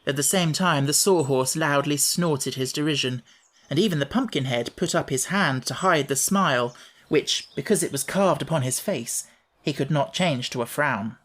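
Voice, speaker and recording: A man reading a children's fantasy audiobook aloud in a steady, measured way. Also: on the high side. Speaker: audiobook narrator. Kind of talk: scripted storybook narration.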